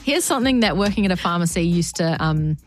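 A woman's voice: fairly low pitch, expressive, fast, faint music behind. A woman co-hosting a radio show in a studio, chatting casually, laughing and telling stories. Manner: chatty, laughs often, storytelling. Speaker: radio co-host.

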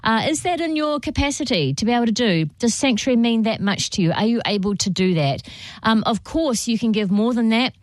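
A woman's voice: on the high side, animated, brisk, dry recording. A woman hosting a radio fundraising drive, upbeat and persuasive. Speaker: radio host. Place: radio studio.

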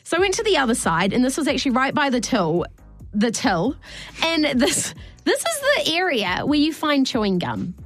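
A New woman co-hosting a radio show, her voice high and bouncing all over the place as she tells a story. She rambles, restarts and laughs easily, with a slightly worn throat. Soft music plays faintly underneath. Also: huge pitch swings, normal speed. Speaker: radio co-host. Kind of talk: casual on-air radio chat.

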